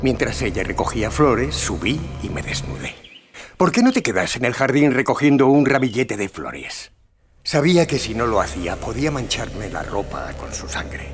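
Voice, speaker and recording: Creepy murderer confessing, fake sweet, pitch all over the place, music behind him. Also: male voice, very animated, normal speed. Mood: chilling, confessional. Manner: chilling, hushed confiding that swings to sing-song coaxing. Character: sadistic older male child killer reading his own confession, falsely sweet. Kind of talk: dramatized true-crime audio drama. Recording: music under the voice.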